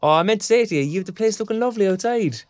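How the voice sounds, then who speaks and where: A man's voice: sits high, lively intonation, very fast, a little mellow, dry recording, clean studio sound. A man with an Irish accent playing a young graduate in an audio drama, teasing and encouraging in colloquial talk. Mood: bantering. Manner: colloquial, bantering. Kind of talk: naturalistic audio drama dialogue.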